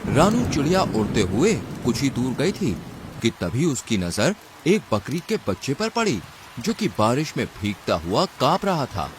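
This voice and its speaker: Male storybook narrator, animated intonation, mid pitch, scripted delivery with faint background noise. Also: unhurried.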